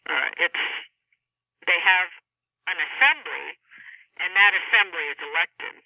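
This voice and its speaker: A woman speaks as an author in a relaxed interview format, explaining things and pausing mid-sentence. Her voice comes through a narrow, tinny phone line with very little room sound. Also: fairly low pitch, creaky, no room sound. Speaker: author being interviewed about a novel. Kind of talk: conversational interview. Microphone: telephone.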